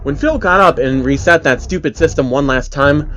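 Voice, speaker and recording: A man's voice: on the high side, moderate pace, fairly bright tone, highs cut off, a little hiss or hum. A man narrating as host of a dramatic creepypasta reading, telling a first-person story to listeners. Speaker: narrator, channel host reading fan stories.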